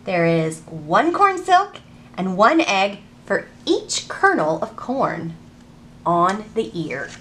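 A woman in her thirties hosting a children's storytime, reading aloud and teaching in an animated, deliberate, sing-song way. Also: medium pitch, slight background noise. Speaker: children's librarian and storytime host. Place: public library children's section.

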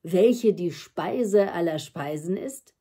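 A woman acts out a noble young prince in a fairy tale. He is curious and courteous, with a lowered, mellow, breathy voice and a measured, questioning delivery. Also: animated, exaggerated voice. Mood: curious, earnest. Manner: measured, inquiring.

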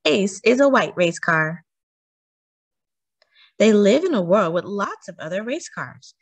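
Female children's storyteller, animated and emphatic read-aloud, very varied intonation, dry sound. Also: medium pitch, measured pace, husky, dry recording.

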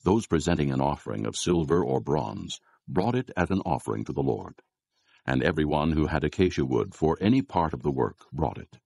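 Extremely deep, gravelly male narrator. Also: darkish tone, no room sound. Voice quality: gravelly, husky. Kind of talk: scripted dramatized Bible narration.

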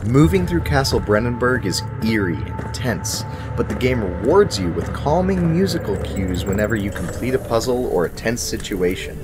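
Man, thirties, game reviewer, lowish voice, animated melody, scripted commentary, dry emphatic delivery. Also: moderate pace, few pauses, loud music behind the voice.

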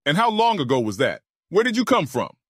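A man's voice: fairly high pitch, huge pitch swings, a little fast, a bit bright, husky, no room sound, clean studio sound, heavily acted. A man playing a firm, decisive army colonel in an audiobook drama, giving orders with authority. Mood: questioning, firm.

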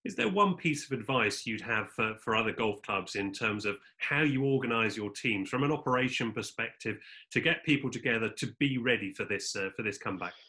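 Bright-voiced man asking polite questions. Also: fairly low pitch, animated, brisk, few pauses. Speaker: interviewer. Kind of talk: video call interview. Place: living room at home. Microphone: video call.

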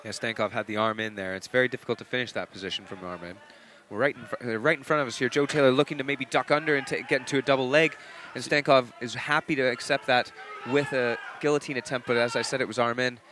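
A British man co-commentating an MMA bout, measured and analytical in his play-by-play. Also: medium pitch, fairly even intonation, fairly bright tone, slight background noise. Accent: British English. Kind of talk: live fight commentary.

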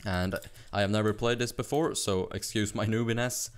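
A young man from Sweden with a Swedish accent, chatting fast and rambling with laughs while narrating his gameplay. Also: fairly low pitch, fairly even intonation, smooth, soft background music. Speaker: gaming YouTuber. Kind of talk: casual gameplay commentary.

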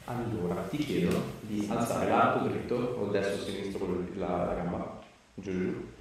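A man's voice: sits low, fairly even intonation, brisk. A man in his thirties, a chiropractor, giving a patient short, reassuring instructions during treatment. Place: small treatment room, indoors. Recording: very roomy, distant mic, faint noise.

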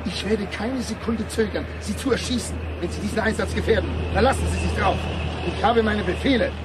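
A stern, threatening army officer acted by a man in a radio drama. His high-pitched male voice barks commands. Outdoor vehicle noise runs clearly behind him. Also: brisk, over a noisy crowd, close to an everyday voice. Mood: cold menace. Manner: barking, commanding. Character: stern, threatening military officer shouting orders. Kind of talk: German radio drama acting.

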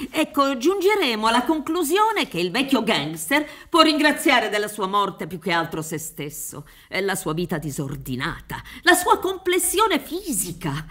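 A middle-aged woman playing a pompous defence lawyer in a radio drama, theatrical and rhetorical, pausing for emphasis. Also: mid-range voice, a bit bright. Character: pompous, wheedling defence lawyer pleading a client's innocence. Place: dark theatre stage, reading from script. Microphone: studio microphone with headphones.

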